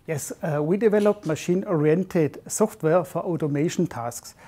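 A man in his fifties answering interview questions in a measured, slightly formal way, recorded on a lapel mic in an office. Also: on the high side, warm tone. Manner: measured, slightly formal, non-native phrasing. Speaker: software development manager. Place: modern office.

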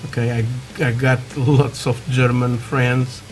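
A man from Portugal with a Portuguese accent, chatting haltingly through a hobby tutorial with many fillers, while building at a workbench. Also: mid-range voice, little pitch movement, faint music behind. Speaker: scale model builder. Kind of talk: casual hobby tutorial talk. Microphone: camera over work desk, hands only.

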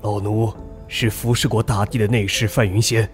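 A man's voice: fairly low pitch, level tone, normal speed, soft background music, close to an everyday voice. A man playing a deferential old palace eunuch in a historical audio drama, speaking formally.

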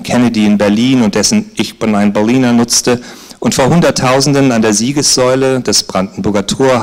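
Middle-aged man, measured formal reading voice, stage, handheld mic. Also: on the low side. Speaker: author. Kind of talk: reading aloud from a novel. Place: literary event on a stage, indoors.

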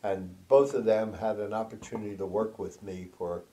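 A man's voice: fairly low pitch, steady tone, normal speed, mellow. A man in his eighties, a retired judge, telling rambling stories in an oral history interview and acting out the quotes. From United States. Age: 80s. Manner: rambling, anecdotal, acts out quotes. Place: formal office with flags, seated on sofa.

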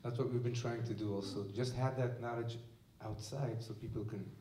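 Israeli man, onstage lecturer, mellow tone, picked up from far off in an echoey room, halting and reflective delivery. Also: mid-range voice, fairly even intonation, a little hiss or hum. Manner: thoughtful, searching for words with many restarts. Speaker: musician and lecturer. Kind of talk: onstage talk to an audience. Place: museum event space with audience. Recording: echoey room heard from a distance.

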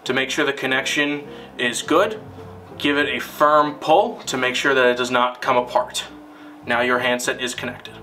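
A man narrating a scripted instructional film in a deliberate, formal, step-by-step manner. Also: mid-range voice, little pitch movement, sharp tone, recorded from a distance, soft background music.

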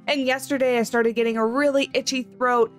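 A young woman hosting a game channel, chatting excitedly over footage, her voice a bit off from a cold. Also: on the high side, fairly quick, soft background music. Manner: chatty and excited, reads animal facts. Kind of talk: casual screenshot commentary.

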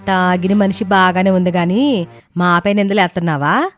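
A woman's voice: on the low side, normal speed, soft background music, natural voice. Scolding, spiteful mother-in-law, acted by a woman. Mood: indignant. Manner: indignant, complaining.

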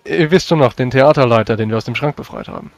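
A man's voice: mid-range voice, fast, dry recording, lightly acted. A man roleplaying a practical, well-connected adventurer in a tabletop game, with polite, formal phrasing.

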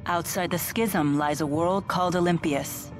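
A woman's voice: on the low side, moderate pace, lightly acted. A woman voicing a stately, blunt young woman in a video game, formal, assured and matter-of-fact. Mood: solemn, certain. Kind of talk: English video game voice acting. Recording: game music behind the voice.

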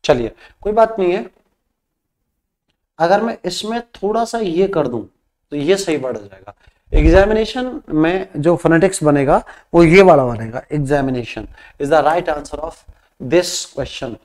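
A man with a Rajasthani Hindi accent teaches an English exam class in Hindi. His voice sits fairly high. He quizzes the students and then gives the answers himself, drawing English words out slowly as he writes on the board. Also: lively intonation, darkish tone. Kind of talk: exam practice lesson. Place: classroom studio with a whiteboard screen.

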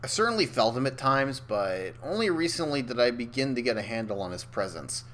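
Troubled young man voiced by a male actor, exasperated and confessional, very expressive pitch, dark comedy cartoon. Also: fairly quick. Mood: weary confiding. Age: young adult. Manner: wry, hesitant, confessional. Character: anxious, exasperated young man with an inner demon. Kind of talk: animated dark comedy narration and dialogue.

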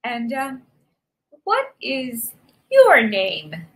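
A woman's voice: high voice, sing-song, takes it slowly, a bit bright, heavily acted. A woman voicing a small, sweet, polite male porcupine in a children's story, with a hesitant manner. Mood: polite, timid. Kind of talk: animated children's storybook reading.

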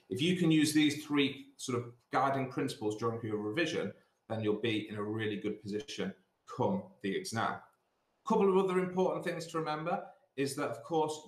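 A middle-aged British man speaking as a school teacher, giving instructive advice and repeating points for emphasis, on a video call. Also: medium pitch. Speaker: school sixth form director. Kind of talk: assembly talk giving exam revision advice. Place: small indoor office. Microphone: computer mic on a video call. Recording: roomy, echoey video call.

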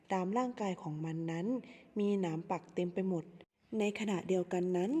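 Husky young woman telling a children's story. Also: medium pitch, warm tone, big-room sound. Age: young adult. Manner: slow, simple storybook phrasing. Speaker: student storyteller.

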